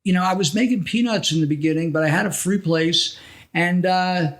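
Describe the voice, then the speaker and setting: A middle-aged American man reminiscing casually as a podcast guest, telling his stories in a halting, conversational way. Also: high-pitched, a bit bright. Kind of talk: casual reminiscing interview talk.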